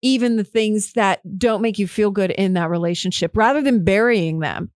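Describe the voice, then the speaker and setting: A woman's voice: medium pitch, moderate pace, clear, resonant tone, dry recording, clean studio sound. A woman hosting a podcast, chatting casually, swearing now and then and acting out quoted lines in a livelier voice. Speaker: podcast host. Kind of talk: casual interview chat.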